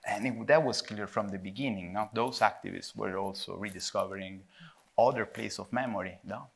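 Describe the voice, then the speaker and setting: A man with an Italian accent, a filmmaker answering at a panel discussion, thinking aloud with pauses and a habit of tagging "no?" onto his points. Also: lowish voice, creaky, husky. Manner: thoughtful, halting, frequent tag "no?". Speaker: filmmaker guest.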